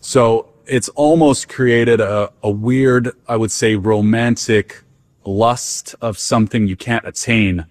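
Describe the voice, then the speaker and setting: A man's voice: medium pitch, steady tone, takes it slowly, darkish tone, dry recording. A young man from the Netherlands, talking casually in an interview with many fillers and restarts. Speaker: interviewee, Dutch soccer fan.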